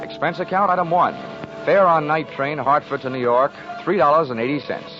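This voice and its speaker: An actor playing a wisecracking, hard-boiled insurance investigator in a 1940s radio drama, with a brisk, dry, commanding delivery. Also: male voice, a little high, natural voice. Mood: dry, wry. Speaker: lead actor of a radio detective serial. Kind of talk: 1940s radio detective acting and narration. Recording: dull 1940s radio recording with music.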